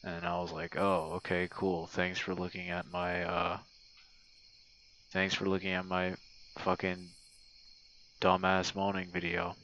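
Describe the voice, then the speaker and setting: A man's voice: low voice, a little slow, creaky, pauses often, fairly bright tone, low-quality recording. A young man leaving a casual, laid-back audio message for a gamer friend, rambling and self-deprecating with plenty of swearing. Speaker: online audio roleplay creator and streamer. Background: crickets and night sounds.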